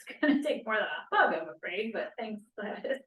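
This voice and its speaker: A woman's voice: medium pitch, sing-song, normal speed, fairly bright tone. A woman acting an anxious, candid young college feminist in a stage play table read. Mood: worried. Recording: echoey room, distant mic.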